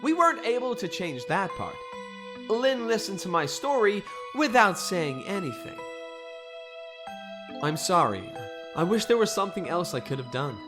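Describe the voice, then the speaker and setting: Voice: medium pitch, very animated, normal speed, lightly acted. A performer voicing a wry, composed spirit detective in a game fan dub, with a dry, teasing, reflective manner. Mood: regretful. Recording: music behind the voice.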